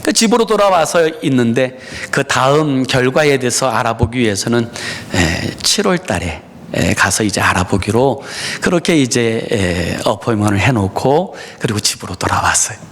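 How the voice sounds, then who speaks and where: A middle-aged male pastor gives a Sunday sermon in his church. He speaks to the congregation in an earnest, personal way and often pauses on "uh". His pitch moves up and down a lot as he talks. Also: expressive, a bit bright. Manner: frequent "에" fillers, personal and earnest, addresses congregation. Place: church sanctuary.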